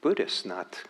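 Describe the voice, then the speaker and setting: A man giving a dharma talk as a meditation teacher, speaking reflectively with frequent pauses and restarts. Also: low-quality recording. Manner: halting, reflective, many restarts. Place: meditation hall.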